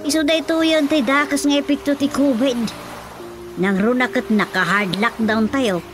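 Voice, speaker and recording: Woman as worried village mother, slightly high voice. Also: fairly even intonation, moderate pace, noisy background, lightly acted. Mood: concerned. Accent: Ilocano. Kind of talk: Ilocano radio drama comedy acting.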